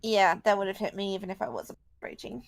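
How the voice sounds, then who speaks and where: A woman playing a tabletop role-playing game, chatty and quick to laugh. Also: mid-range voice, vocal fry. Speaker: player. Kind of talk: casual play over voice chat.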